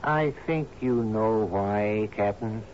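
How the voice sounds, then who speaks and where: An actor playing a patient, deferential older manservant to a sea captain in a 1970s radio drama, measured and gently hesitant. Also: male voice, on the low side. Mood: doubtful, gentle. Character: deferential, patient longtime male servant to a captain. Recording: dull, noisy 1970s radio broadcast.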